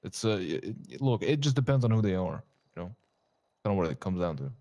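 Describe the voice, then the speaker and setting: Low, lively-voiced sardonic man. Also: brisk. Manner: sardonic, joking, swearing. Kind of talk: in-character roleplay chat.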